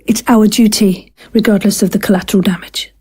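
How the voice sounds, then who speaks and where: A woman playing a cold, zealous war-veteran doctor in an audio drama, speaking in a halting, pointed, condescending way. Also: medium pitch, steady tone, darkish tone, breathy edge. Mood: grave, lecturing.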